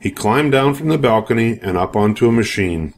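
An older man narrates a children's storybook in a fairly low voice. He reads expressively for young listeners, in a scripted read-aloud style. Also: animated, a little fast.

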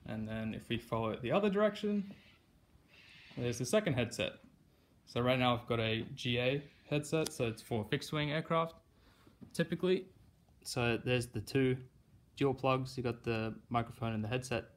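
A man hosting a casual hands-on demonstration vlog, speaking from behind a handheld camera in a room at home. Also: medium pitch. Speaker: vlog host. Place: carpeted room at home, indoors. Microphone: handheld camera or phone mic, speaker behind the camera.

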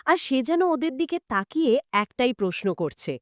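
Expressive, higher-pitched woman co-host. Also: moderate pace, dry recording, studio quality. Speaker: co-host retelling and questioning. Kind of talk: scripted-sounding conversational storytelling.

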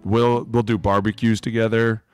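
A man with a fairly low voice talks casually as a sports podcast co-host. His talk is slangy and a bit profane, recorded close and dry on a podcast microphone. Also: flat delivery, moderate pace, clean tone, no echo. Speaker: former NFL lineman, podcast co-host. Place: indoor room, remote video podcast. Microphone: close podcast microphone with headset.

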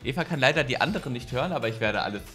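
Lively young man hosting a game video. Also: mid-range voice, very fast, faint music behind. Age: young adult. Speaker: host of a group gaming video. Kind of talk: casual gameplay chat. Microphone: boom microphone, close.

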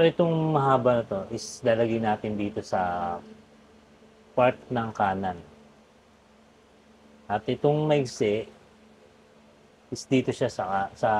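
A man giving a casual step-by-step hands-on tutorial, often halting as he searches for words. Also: lowish voice, a little mellow. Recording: clearly noisy background ambience.

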